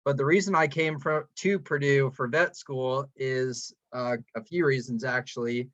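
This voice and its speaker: A man's voice: mid-range voice, steady tone, a little slow, fairly bright tone, no echo. Easygoing young man, dry muffled call. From Evansville, Indiana, US. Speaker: fourth-year veterinary student. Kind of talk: casual panel talk on a video call. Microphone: video call.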